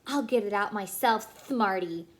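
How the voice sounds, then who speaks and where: A woman voicing an eager, excitable, wide-eyed little girl bear cub from a storybook. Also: mid-range voice, a little slow, close to an everyday voice. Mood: excited.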